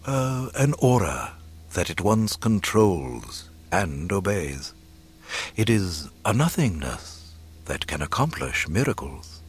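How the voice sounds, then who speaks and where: A man's voice: fairly low pitch, huge pitch swings, slightly rough, heavily acted. Man as a wise old mentor, rising and falling. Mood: mystical. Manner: gentle, measured, mystical. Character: wise, calm old male mystic warrior mentor.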